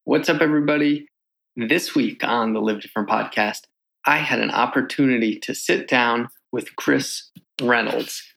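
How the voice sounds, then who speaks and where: A man's voice: medium pitch, moderate pace, vocal fry, a bit bright, rough recording. A man from New York talking in a loose, casual way, with lots of fillers, as he opens his own podcast and then answers questions as a guest. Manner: loose, chatty, many fillers. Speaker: podcast host, here a guest. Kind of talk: casual conversational intro and interview.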